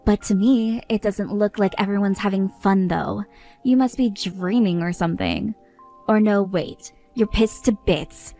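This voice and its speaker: A woman's voice: mid-range voice, a little slow, mellow, close to an everyday voice. A fed-up young bride who scolds with firm indignation, played by a woman. She sounds worn out by the people around her, with faint music in the background. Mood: indignant.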